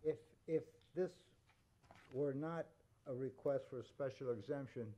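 A man's voice: mid-range voice, unhurried, mellow. A man on a zoning board asking the presenter hesitant, clarifying questions. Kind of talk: questions at a public meeting.

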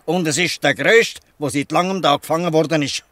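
An actor with a Swiss German accent playing a weary, good-natured old fisherman who explains things haltingly in a dialect audio drama. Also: fairly high pitch, pushed and bright, no room sound. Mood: wry, resigned.

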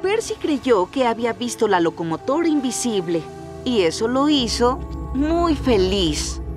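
Lively woman narrator, highish voice. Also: measured pace, over music. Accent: Latin American. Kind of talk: children's story narration.

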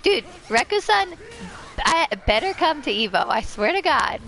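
A young American woman from Ohio streaming a fighting game while sick, coughing now and then. She chats with viewers and squeals and yells at the game. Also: sits high, very animated, moderate pace, creaky. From Ohio, USA. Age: young adult. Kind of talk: casual game stream chat. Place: home room, indoors. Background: faint game music.

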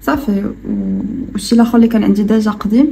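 A young woman in her twenties with a Moroccan accent, chatting casually through a home vlog, relaxed and full of hesitations. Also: medium pitch, very fast, creaky. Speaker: vlogger. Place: apartment kitchen, indoors. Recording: echoey room, distant mic, noisy background.

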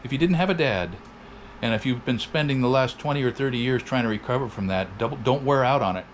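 Sixties host from Oregon, reflective and steady, even mid pitch. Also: male voice, a little hiss or hum. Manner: measured, reflective. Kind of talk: casual chat and voice-over narration. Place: indoor wooden workshop.

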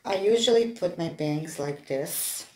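A woman vlogger chatting casually to the camera in a halting, hesitant way as she gets ready. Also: on the low side, animated, darkish tone. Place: plain-walled room indoors. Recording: echoey room.

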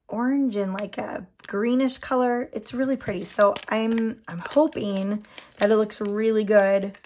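A woman with a somewhat worn voice, since she has been losing it, chats slowly and easily while working at a table. Her voice sits a little high, and she rambles with plenty of ums and small laughs. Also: darkish tone. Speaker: nail product seller and channel host. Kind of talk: casual chat while doing a manicure. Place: indoors at a tabletop.

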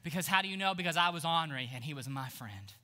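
Thirties guy pastor preaching big on stage, pretty high voice, goes quiet then yells. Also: fast talker. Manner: animated, swings from hushed to shouting. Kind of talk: energetic sermon. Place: large church stage.

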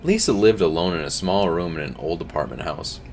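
A young man reading a scary story aloud at home, tripping over words now and then and adding quiet asides. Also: sits low, fairly quick, creaky, slight background noise. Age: young adult. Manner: reads with slips and restarts, drops to quiet asides. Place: home streaming room, indoors.

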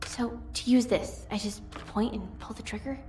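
Actress as an earnest crew leader, echoey room. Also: female voice, a little high, moderate pace, darkish tone, slight background noise, close to an everyday voice. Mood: dejected. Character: reckless young female crew leader, self-doubting. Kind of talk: audio drama acting. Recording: echoey room, music behind.

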